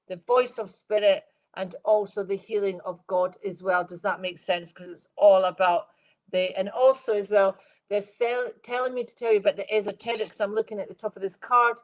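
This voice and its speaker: Congested woman giving a gentle psychic reading. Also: mid-range voice, poor audio. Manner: gentle, rambling, repeats phrases, addresses viewers by name. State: congested, stuffed-up nose. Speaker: medium giving live readings. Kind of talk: live-stream spirit reading.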